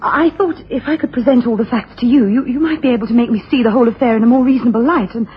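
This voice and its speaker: Anxious, high-voiced lady. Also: racing, breathy edge. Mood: troubled, hesitant. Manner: formal, hesitant, refined. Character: anxious, genteel upper-class wife seeking help. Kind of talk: 1980s radio drama acting. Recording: dull, noisy old radio broadcast.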